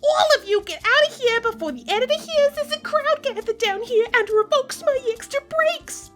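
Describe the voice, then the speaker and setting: A woman's voice: extremely high pitch, expressive, moderate pace, over loud music. A woman playing a bossy, brash, complaining receptionist at a cosmic office in a comic sci-fi audio drama. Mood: grumpy, dismissive.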